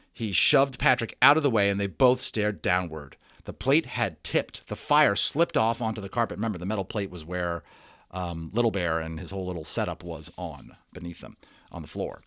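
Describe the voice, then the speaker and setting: A man's voice: on the low side, fairly bright tone, no room sound, clean studio sound. A man reading a children's novel aloud as a dramatic narrator, theatrical, with informal asides to his listeners. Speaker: storybook reader.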